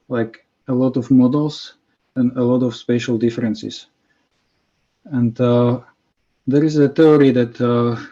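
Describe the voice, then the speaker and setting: A man from Slovakia, giving a scientific presentation over a video call, with many pauses and fillers. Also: mid-range voice, dark tone. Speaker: conference presenter. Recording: muffled video call audio.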